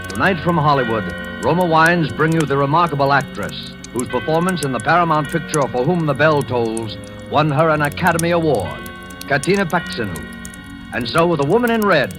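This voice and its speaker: A man hosting and narrating a 1940s radio thriller in a measured, formal, ominous manner. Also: medium pitch. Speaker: narrator and program host. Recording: old 1940s radio broadcast.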